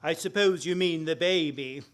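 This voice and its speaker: A man playing a brazen, breezy fake detective in a radio play farce, cocky and smoothly polite. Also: fairly high pitch, normal speed, a bit bright, natural voice.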